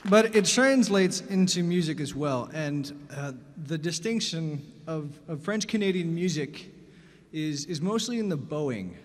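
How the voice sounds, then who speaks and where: A man's voice: a little high, lively intonation, measured pace, slight background noise. A man from Vermont, a fiddler and bandleader, telling easygoing stories to a concert audience between tunes, with plenty of "uh"s. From Vermont, New England. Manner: easygoing and folksy, with many "uh"s. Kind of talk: storytelling stage patter between tunes. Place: concert stage. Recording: long reverb of a concert hall.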